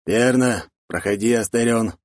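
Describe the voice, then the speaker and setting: A man's voice: medium pitch, a little slow, darkish tone, no echo, pitched above the performer's own voice, close to an everyday voice. Man acting middle-aged count, authoritative, stern, protective, fantasy audiobook, dry sound. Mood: serious, secretive. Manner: authoritative, commanding.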